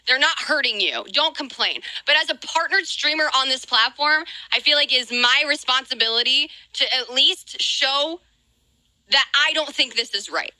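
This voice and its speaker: A woman's voice: high voice, animated, moderate pace, bright, forceful tone, no echo, poor audio. A woman livestreamer talking casually and earnestly to her viewers, hesitating and repeating herself. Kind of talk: casual opinion talk to stream viewers.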